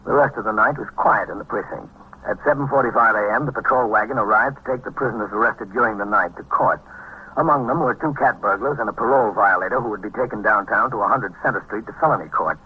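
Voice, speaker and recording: Husky, low-voiced police captain. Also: male voice, fast talker. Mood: matter-of-fact. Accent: American. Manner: matter-of-fact, clipped police-report delivery. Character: steady, world-weary male police precinct captain. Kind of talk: old-time radio crime drama narration. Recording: dull, band-limited vintage radio recording.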